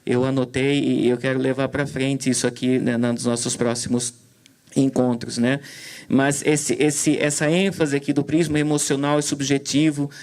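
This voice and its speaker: A man's voice: mid-range voice, level tone, normal speed, darkish tone. A man moderating a conference session, talking hesitantly with many fillers and restarts. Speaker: event moderator. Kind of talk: commentary on a talk.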